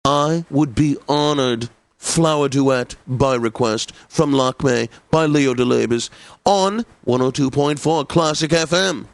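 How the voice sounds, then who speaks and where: Stuffy snobby classical radio host for a game, theatrical and formal, very dry close sound. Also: male voice, mid-range voice, slow pace, vocal fry, dry recording. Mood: smug, genteel. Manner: pompous, theatrical, formal enunciation. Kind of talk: satirical radio announcing in a video game.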